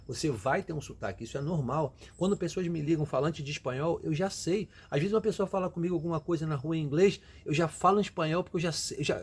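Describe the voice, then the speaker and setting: Fast-talking husky Brazilian man. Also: a little high, darkish tone. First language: Portuguese. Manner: emphatic, repeats phrases, direct advice. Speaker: vlogger giving advice. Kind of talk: motivational casual talk to camera.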